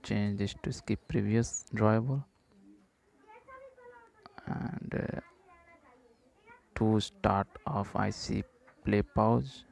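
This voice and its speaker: A man slowly talking through app-building steps in a coding tutorial, dictating each step with short pauses and fillers. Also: on the low side, creaky. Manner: slow, flat dictation of code steps with "uh" fillers. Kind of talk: screen-recorded coding walkthrough.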